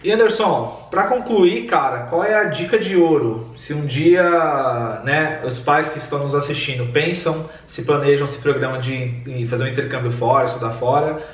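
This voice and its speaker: A Brazilian man hosting, asking lively questions in a chatty, relaxed way. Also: a little high, moderate pace, hardly any pauses, recorded from a distance. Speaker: host, interviewer. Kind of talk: casual interview questions. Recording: echoey room, away from mic.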